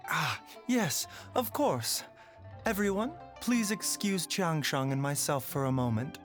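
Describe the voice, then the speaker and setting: A man's voice: a little high, expressive, a little slow, a bit bright, soft background music. An actor playing a composed, courteous male doctor and pharmacist in a video game, speaking formally and politely. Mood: gentle, courteous.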